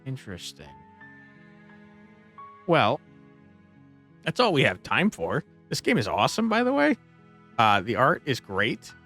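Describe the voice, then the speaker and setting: A man's voice: medium pitch, huge pitch swings, measured pace, long pauses, pushed and bright, faint music behind. A man hosting a gaming video with casual, informal, enthusiastic commentary. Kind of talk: casual game commentary, sometimes reading game text aloud.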